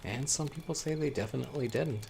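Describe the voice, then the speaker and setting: A man playing a young newcomer telling eerie local legends by a campfire in a horror audio roleplay, conversational and teasing with dramatic pauses. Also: mid-range voice, creaky, darkish tone, slight background noise, natural voice. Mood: casual, intrigued. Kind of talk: casual spoken storytelling in horror audio roleplay.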